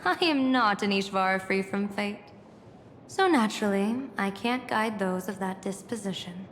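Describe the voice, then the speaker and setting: A woman playing a playful, cryptic seer general in a video game, speaking in a measured, poised, theatrical way. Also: medium pitch, fairly bright tone, close to an everyday voice. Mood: cryptic, solemn. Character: playful, cryptic female seer general, fortune-telling strategist. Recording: long reverb, faint music behind.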